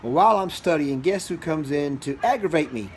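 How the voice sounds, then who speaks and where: A man, a preacher and father, telling an improvised Bible story to a child in an animated, interactive way. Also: on the high side, a little fast. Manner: animated, interactive, checks on listener with questions. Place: small office in a house. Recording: noisy amateur home recording.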